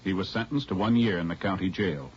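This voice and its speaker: A man announcing formally on 1950s radio, with measured, official-sounding scripted delivery. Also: low-pitched, slight background noise. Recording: muffled vintage radio recording with music.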